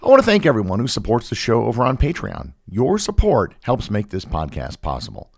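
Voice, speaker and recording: A man hosting a podcast, reading a scripted educational narration in a measured, explanatory way with emphatic phrasing. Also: fairly low pitch, wildly swooping pitch, vocal fry, breathy edge. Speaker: podcast host.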